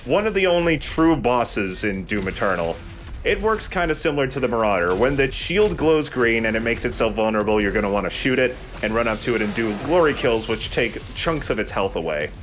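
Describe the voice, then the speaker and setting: A man's voice: mid-range voice, animated, a little fast, fairly bright tone, a little hiss or hum. A man hosting a let's play, chatting casually as he comments on the game. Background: game music.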